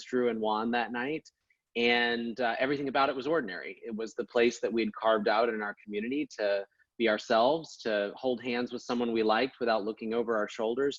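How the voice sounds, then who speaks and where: A man from Oregon introducing himself as a podcast guest and advocate, speaking earnestly and in a measured way with frequent small fillers. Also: medium pitch, a bit bright, no echo. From Portland, Oregon, USA. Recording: dull, dry, lo-fi sound.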